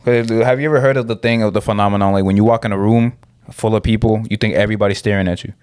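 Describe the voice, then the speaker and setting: A young man from the Dominican Republic co-hosting a podcast, talking in a relaxed, rambling, slangy way with lots of fillers. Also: on the low side, rapid-fire, smooth, dry recording, studio quality. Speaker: podcast co-host. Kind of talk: casual podcast chat.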